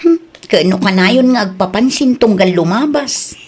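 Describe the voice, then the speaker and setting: A woman's voice: medium pitch, expressive, normal speed, darkish tone, breathy edge. A woman playing a strict, guarded shopkeeper in a radio comedy drama, speaking with an Ilocano accent. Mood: polite, guarded.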